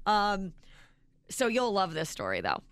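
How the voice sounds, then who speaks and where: A woman co-hosting a morning radio show, with a deep, raspy voice, chatty and self-mocking. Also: huge pitch swings, unhurried, fairly bright tone, dry recording. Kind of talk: casual radio banter. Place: radio studio.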